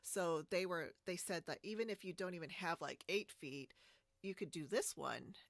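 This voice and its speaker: An American woman chatting casually as a podcast host, with a halting, wandering delivery. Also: fairly low pitch, creaky, no echo. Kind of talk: casual interview chat about a hobby. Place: remote split-screen video call.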